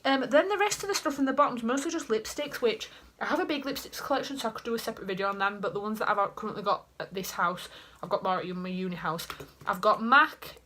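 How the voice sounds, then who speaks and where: Chatty young Yorkshire woman, bright voice. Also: medium pitch, fast talker, few pauses. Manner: chatty, rambling, self-mocking asides. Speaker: beauty vlogger. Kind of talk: casual chat to camera. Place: small bedroom indoors. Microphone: camera in front at desk height.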